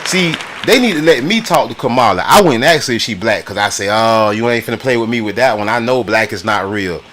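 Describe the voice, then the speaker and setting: A man's voice: mid-range voice, expressive, fairly quick, a bit bright, a faint crowd, slight background noise. A man with an American accent giving casual, opinionated reaction commentary on video clips, blunt, mocking and full of slang.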